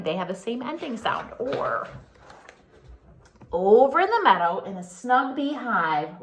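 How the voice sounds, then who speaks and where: A woman reads a picture book to young children as their teacher would. Her pitch moves up and down in an animated, singsong way. She takes her time and stops to put questions to the listeners. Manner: expressive, singsong, pausing to ask children questions. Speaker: pre-K teacher.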